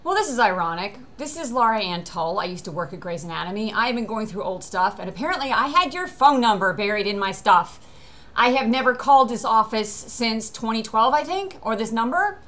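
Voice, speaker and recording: A woman's voice: on the high side, lively intonation, fairly quick, fairly bright tone. An American woman giving an unscripted personal monologue in a rambling, aggrieved, insistent manner. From US East Coast. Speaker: actor recording a personal video.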